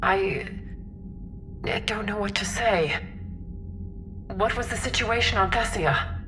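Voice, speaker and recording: A woman playing a dignified alien stateswoman, formal, measured and shaken, in a video game. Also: medium pitch, steady tone, background noise, natural voice. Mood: anxious. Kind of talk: dramatic video game acting.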